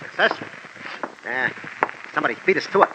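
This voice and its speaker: Man acting insolent henchman, rough slangy speech, lively intonation, old radio drama, clearly noisy recording. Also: on the high side, fairly quick, lightly acted. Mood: surly. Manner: slangy, uneducated, surly.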